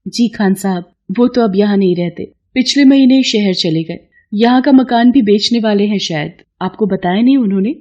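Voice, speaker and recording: A woman voicing a humble, poor widowed mother in a cartoon story, speaking gently, politely and plaintively. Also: medium pitch, moderate pace, hardly any pauses, muffled recording, natural voice. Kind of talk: cartoon moral story acting.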